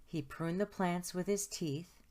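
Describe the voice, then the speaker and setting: A woman narrating a children's picture book aloud in an expressive storytime style, stretching words for emphasis. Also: on the low side, unhurried. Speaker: storytime host reading aloud.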